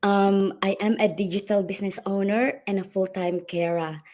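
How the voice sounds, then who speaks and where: A woman hosting a casual live video-call interview, speaking with frequent ums and pauses. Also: mid-range voice, steady tone, darkish tone. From Australia. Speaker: interviewer and host.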